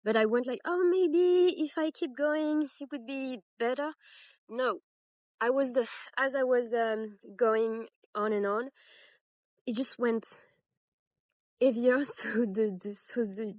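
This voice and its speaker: A woman's voice: a little high, no echo, poor audio. A young woman with a French accent, a native French speaker, hosting a casual crochet tutorial with many fillers and restarts. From France. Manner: hesitant, many fillers and restarts, laughs at herself.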